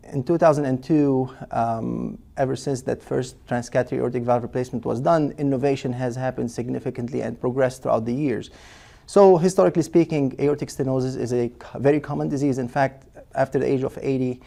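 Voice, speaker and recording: A man's voice: medium pitch, gravelly, dark tone. A man, a cardiologist patiently explaining in an interview, with frequent fillers and restarts. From Lebanon. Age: 40s. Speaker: cardiologist guest.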